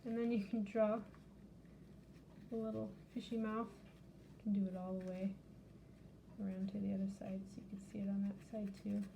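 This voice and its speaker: A woman's voice: mid-range voice, level tone, normal speed, creaky, long pauses, mellow, slight background noise, rough recording. A woman hosting a children's storytime, reading aloud and explaining in simple, child-directed language. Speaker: library storytime host. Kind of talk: picture-book reading and craft demonstration.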